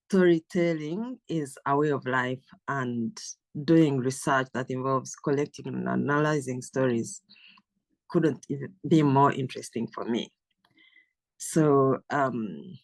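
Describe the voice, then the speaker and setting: A woman from Uganda, a professor and author, giving a measured, careful self-introduction with frequent pauses and ums. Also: extremely low pitch, creaky, no echo.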